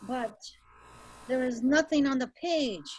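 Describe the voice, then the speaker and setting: Weary, grateful prisoner, played by a woman, high slow voice, radio drama table read. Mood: hushed, awed. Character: condemned French prisoner, weary, awed and grateful father. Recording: faint noisy home virtual-call recording.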